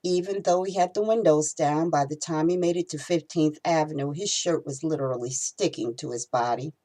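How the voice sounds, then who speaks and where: A woman reading her own novel aloud in expressive storytelling narration. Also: deep voice, a little fast.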